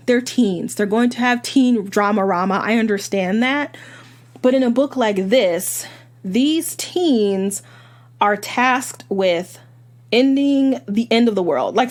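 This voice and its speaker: A woman reviewing a book in a casual, rambling monologue, her voice slightly hoarse, with fillers and restarts. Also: a little high.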